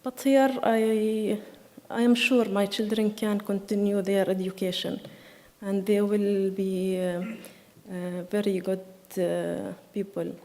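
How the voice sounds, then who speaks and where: Slow, hesitant woman in a hall. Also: mid-range voice, flat delivery, dark tone. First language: Arabic. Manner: slow, hesitant, many fillers. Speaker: refugee speaker at a meeting. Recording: hall reverb.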